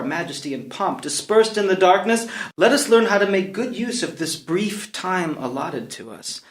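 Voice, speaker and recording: High-voiced tormented prince, echoey room. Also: male voice. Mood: wondering, tempted. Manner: declamatory, brooding, swinging from hushed to outcry. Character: tormented young prince, passionate, philosophizing, honor-bound. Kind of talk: classical stage soliloquy, unrehearsed read. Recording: roomy echo around the voice.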